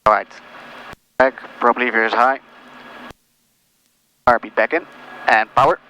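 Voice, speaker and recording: A man piloting a small aircraft, giving casual, halting cockpit commentary and radio calls over a headset. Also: mid-range voice, steady tone, sharp tone. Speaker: pilot narrating his own flight. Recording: noisy cockpit with engine hum.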